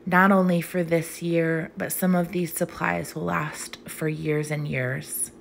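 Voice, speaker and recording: A woman's voice: fairly low pitch, gravelly, a little hiss or hum. A young woman teacher reading aloud for young children in an emphatic, theatrical, sing-song way.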